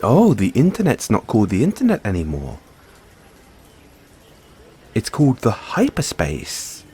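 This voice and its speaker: Man playing animated geeky mad scientist boyfriend. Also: on the low side, husky, heavily acted. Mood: fascinated, geeky. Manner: musing, halting, chuckling asides, drawn-out reactions. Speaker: audio roleplay performer. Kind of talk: intimate boyfriend audio roleplay, improvised-sounding monologue.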